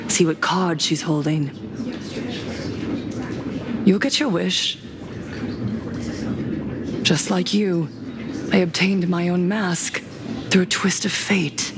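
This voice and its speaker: An actress playing a traumatised young woman turned eerie media mogul in a video game, with a slow, breathy, theatrical delivery. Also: fairly low pitch, steady tone, background noise. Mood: calm, knowing. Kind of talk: scripted video game voice acting. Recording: loud music behind.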